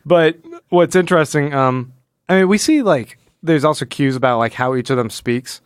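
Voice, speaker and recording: A man's voice: a little high, normal speed, clean tone, no echo, clean studio sound. A man hosting a podcast, chatting casually and analytically, acting out quoted lines as he retells. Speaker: podcast host. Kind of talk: casual comic book analysis chat.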